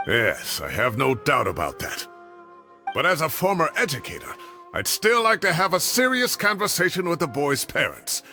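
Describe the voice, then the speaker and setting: An actor playing an excitable, indignant old retired professor in a video game, with a theatrical, exclamatory delivery. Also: male voice, a little high, moderate pace, faint music behind, exaggerated voice. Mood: earnest. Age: older.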